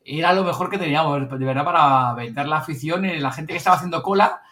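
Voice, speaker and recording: A man's voice: a little high, fast, bright, forceful tone. A man from Spain with a Spanish accent, chatting casually and colloquially as a podcast host, rambling as he goes. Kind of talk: casual chat recounting events.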